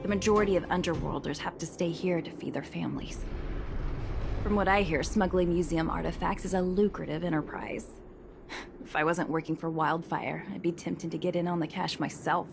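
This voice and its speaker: A woman's voice: fairly low pitch, fairly even intonation, brisk, creaky, noisy background. A woman playing a wary, street-wise young underworld informant in a video game, guarded and matter-of-fact. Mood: wry.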